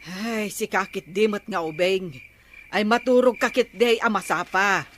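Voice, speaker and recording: A woman's voice: medium pitch, a little slow, slight background noise, close to an everyday voice. A woman playing a fussy, caring old grandmother with an Ilocano accent, cautioning in a radio comedy drama. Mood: fussing, concerned.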